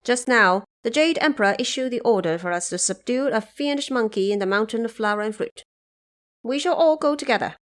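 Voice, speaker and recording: A woman's voice: on the high side, lively intonation, brisk, fairly bright tone. A woman voicing a proud, commanding young divine warrior lord in a mythological audio drama, speaking in a formal, declamatory, self-assured way.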